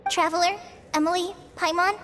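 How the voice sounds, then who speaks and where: A woman voicing a gentle, caring young female healer in a game, speaking softly and earnestly. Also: very high voice, steady tone, normal speed, in a large hall, a little hiss or hum. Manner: soft, earnest, concerned. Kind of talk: voiced game dialogue.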